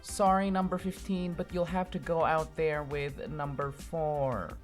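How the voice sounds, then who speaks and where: A woman's voice: sits low, steady tone, a little slow, faint music behind. A woman voicing a businesslike cartoon pony official who calls up contestants in a procedural manner. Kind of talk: cartoon parody voice acting.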